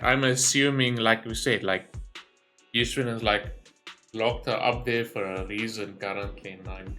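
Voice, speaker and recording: Bright-voiced man doing casual game commentary. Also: mid-range voice. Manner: informal, rambling, thinking aloud. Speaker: gaming streamer.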